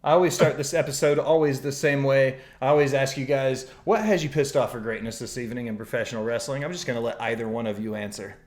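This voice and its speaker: A man's voice: mid-range voice, fast, hardly any pauses, a little mellow, a little hiss or hum. A man hosting a wrestling podcast, opening with playful, announcer-style introductions and joking banter. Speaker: podcast host.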